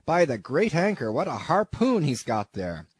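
A man voicing a gruff, blustering old sea captain who shouts his orders in old-fashioned seafaring speech. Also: on the high side, sing-song, normal speed, no room sound, pitched above the performer's own voice.

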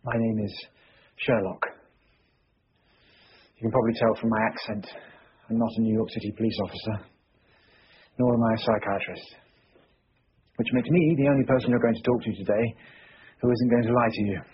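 An actor playing a sharp, blunt consulting detective with a British accent, measured and dry. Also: male voice, on the low side, steady tone, breathy edge, lo-fi sound. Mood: coaxing. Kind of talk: TV drama acting.

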